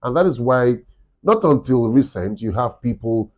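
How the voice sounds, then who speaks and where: A Nigerian man with a deep voice, a campus radio director chatting in an interview and telling stories with many "you know"s. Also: animated, moderate pace. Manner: rambling storytelling, frequent "you know". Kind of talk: casual interview chat. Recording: dull lo-fi sound.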